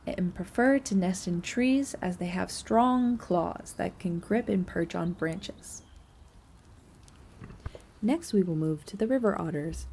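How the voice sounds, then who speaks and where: Mellow-voiced woman narrating, noisy recording. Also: lowish voice, creaky. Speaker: student narrator of an audio nature tour. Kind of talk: scripted narration.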